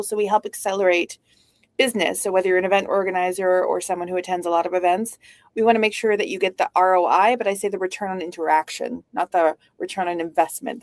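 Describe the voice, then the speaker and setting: A woman's voice: lowish voice, dry recording. Witty Canadian woman telling stories. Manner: witty, storytelling, uses "like" often. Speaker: guest speaker, founder. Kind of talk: casual conversational interview.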